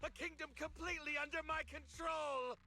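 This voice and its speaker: A man's voice: very high-pitched, steady tone, moderate pace, creaky, pushed and bright, soft background music, exaggerated voice. An actor voicing a scheming, power-hungry henchman in a video game, mocking and theatrical with feigned deference. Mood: mocking, megalomaniacal. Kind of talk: dramatic video game voice acting.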